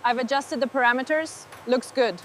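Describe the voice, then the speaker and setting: A woman's voice: high-pitched, steady tone, moderate pace, a bit bright. A woman giving short scripted status reports, like a factory technician. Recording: clear background noise.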